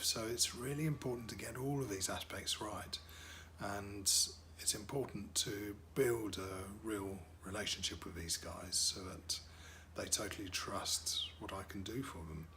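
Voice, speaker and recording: A man's voice: on the low side, unhurried, fairly bright tone, slight background noise. A middle-aged man, a wedding DJ and MC whose first language is French, talking casually about his work with lots of fillers and repeated words.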